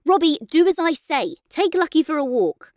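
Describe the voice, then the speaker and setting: Exasperated mother for an audiobook, played by a woman. Higher voice, emphatic, bossy and scolding. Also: sing-song, normal speed, no echo, clean studio sound. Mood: firm, impatient. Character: annoyed, exasperated mother ordering her young son about.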